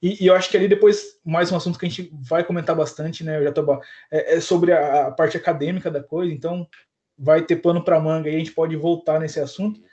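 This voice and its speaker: A man's voice: fairly high pitch, a little fast, highs cut off. Laid-back slangy Brazilian man. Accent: Brazilian Portuguese. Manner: relaxed, slangy, lots of fillers. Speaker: podcast co-host. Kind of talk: casual podcast chat.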